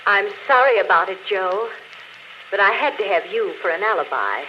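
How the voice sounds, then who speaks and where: An actress playing a coolly unrepentant murderess, sweet and matter-of-fact, in a 1940s radio drama style. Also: female voice, mid-range voice, animated, normal speed, close to an everyday voice. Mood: sweetly mocking. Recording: muffled, hissy old phonograph-style radio recording.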